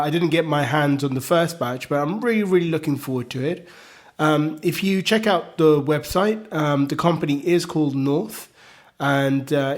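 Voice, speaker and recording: Slightly croaky man hosting a tech podcast. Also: on the high side. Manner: chatty, upbeat, frequent ums and uhs. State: getting over a cold, slightly croaky. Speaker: podcast host. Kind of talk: solo tech news podcast.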